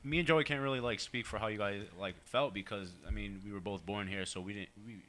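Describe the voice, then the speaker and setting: Low, bright-toned joking host. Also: male voice, fairly quick. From Connecticut, USA. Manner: joking, filler-heavy, lots of "like". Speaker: podcast host. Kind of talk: casual chat.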